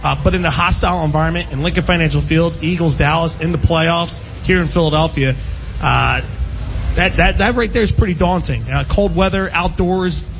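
A man's voice: on the high side, a little fast. A man hosting a casual sports panel show, talking in a rambling, opinionated way. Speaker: sports radio show host. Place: live broadcast from a casino. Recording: muffled, clearly noisy live venue recording.

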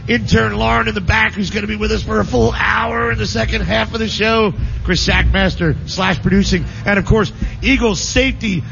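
A man's voice: high voice, steady tone, creaky, a bit bright. A man hosting a live sports radio show, joking with his co-hosts and reading sponsor copy. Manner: jokey, reads sponsor copy briskly. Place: radio broadcast set at a casino. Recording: slightly dull radio studio audio, faint noise.

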